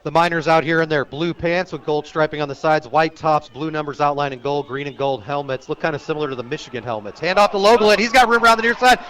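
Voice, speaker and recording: Male radio play-by-play sportscaster, fairly high-pitched, clipped descriptive delivery for live high school football. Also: fast, few pauses. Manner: clipped descriptive phrases, excited on big plays. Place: outdoor stadium, live radio broadcast.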